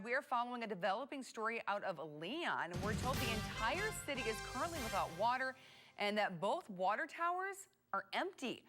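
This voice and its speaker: A woman with an American accent reading scripted television news as an anchor in a studio. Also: mid-range voice.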